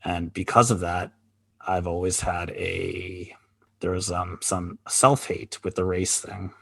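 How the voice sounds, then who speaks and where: A man co-hosting a podcast, talking candidly in a halting, reflective way with many pauses and restarts. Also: sits low, level tone, vocal fry, a bit bright, husky, dry recording. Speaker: podcast co-host. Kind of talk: candid conversational chat.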